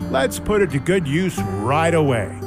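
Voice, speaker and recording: A man playing a kindly, gentle giant lumberjack in a children's radio drama. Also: on the high side, big pitch swings, measured pace, music in the background. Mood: kind, grateful. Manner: gentle, reassuring.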